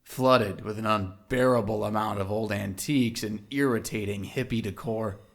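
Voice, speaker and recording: A man's voice: fairly low pitch, normal speed. A man narrating a scripted audio drama in a literary storytelling style.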